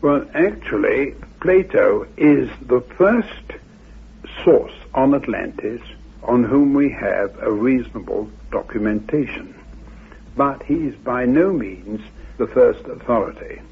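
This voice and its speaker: Very raspy man, slow and creaky. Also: mid-range voice, animated. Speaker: expert on Atlantis. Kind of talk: explanatory historical narration. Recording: narrow phone-like old radio audio.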